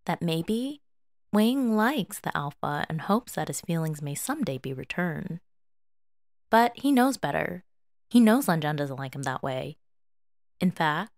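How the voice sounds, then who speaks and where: Casting: female fiction narrator, slightly low register, lively and expressive intonation, dry studio-like sound. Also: no room sound.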